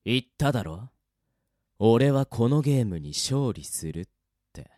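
A man playing a cocky, cruel death-game player in a Japanese radio drama, with rough, taunting, gloating speech. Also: mid-range voice, expressive, measured pace, a little mellow, no room sound, clean studio sound, lightly acted. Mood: menacing taunting.

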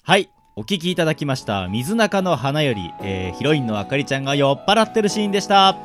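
A man's voice: fairly high pitch, sing-song, fast, fairly bright tone. A man hosting a radio show in casual banter. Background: music.

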